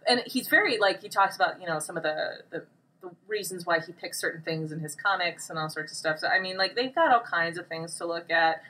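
A woman's voice: on the low side, a little fast, bright, forceful tone. A woman co-hosting a casual podcast, talking in an informal, rambling way with joking asides. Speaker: podcast co-host. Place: small room. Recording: slightly distant microphone.

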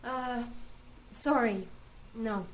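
An actress plays a scatterbrained female envoy from an afterlife office in a fantasy audio comedy. Her voice is fairly high, with a chatty, apologetic manner. Faint noise runs underneath. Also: very slow. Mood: businesslike, flustered. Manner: babbling, apologetic, easily sidetracked. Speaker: voice actor. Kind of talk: comic fantasy audio drama acting.

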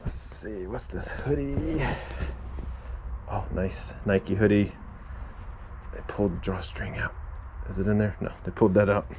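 A man's voice: fairly low pitch, rough recording. A man giving casual running commentary as he digs through a storage unit, muttering to himself and cheering at good finds, recorded outdoors on a head-mounted camera. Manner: unhurried, muttering to himself, upbeat when finding items. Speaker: reseller sorting a storage unit. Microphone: head-mounted camera, point of view. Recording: loud background noise.

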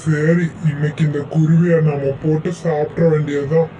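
A man's voice: a little high, flat delivery, moderate pace, mellow, far from the mic, slight background noise, close to an everyday voice, rough recording. A man voicing a greedy, boastful male eagle in a cartoon, talking in a gloating, threatening way. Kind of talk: cartoon voice acting.

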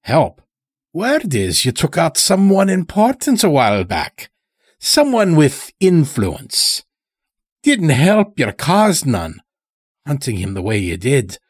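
A man's voice: on the high side, huge pitch swings, takes it slowly, slightly rough, dry recording, voice pushed up, heavily acted. A man voicing a sly, weary old stranger in an audio story, with a folksy, rambling way of talking. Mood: sly, needling. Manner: folksy, rambling, dropped words.

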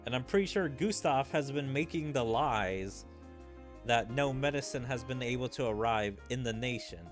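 Man, game streamer, casual commentary, rambling and puzzled, medium pitch, music behind. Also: expressive, normal speed. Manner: puzzled, rambling, thinking aloud. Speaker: gaming streamer. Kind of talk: casual playthrough commentary, reading game dialogue aloud.

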